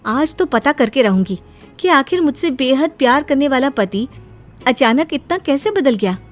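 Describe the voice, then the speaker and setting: A woman voicing a sharp-tongued, defiant young daughter-in-law in an animated story, with dramatic, emotional delivery. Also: high-pitched, normal speed, faint music behind, voice pushed up. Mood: worried.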